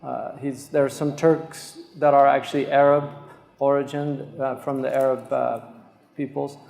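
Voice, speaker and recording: A man hosting a lecture, introducing a guest scholar in unscripted, halting talk with many pauses and fillers. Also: medium pitch, level tone, vocal fry, a little mellow. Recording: echoey hall, distant mic.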